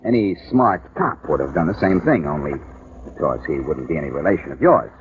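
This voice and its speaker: An actor playing a wisecracking, smug private detective in a 1940s radio drama, with a teasing, sardonic manner. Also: male voice, extremely low pitch, animated, a little fast, a little hiss or hum. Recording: narrow tinny old radio broadcast with music.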